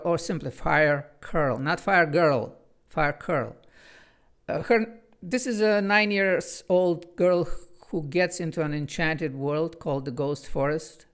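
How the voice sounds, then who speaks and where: A man's voice: fairly high pitch, gravelly, fairly bright tone. A man from Bulgaria with a Bulgarian accent, chatting earnestly and then reading his own children's book aloud. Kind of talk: chatty intro, then storybook read-aloud.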